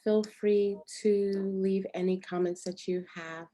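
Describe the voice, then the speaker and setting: A woman hosting a video-call meeting, speaking in a relaxed way with many pauses and "um"s. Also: mid-range voice. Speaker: host, board member and nanny agency owner.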